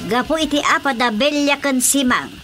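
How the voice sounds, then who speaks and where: A woman's voice: high voice, moderate pace, music in the background, close to an everyday voice. A woman playing a gossipy, complaining village woman in an Ilocano radio drama comedy. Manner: exclamatory, gossipy.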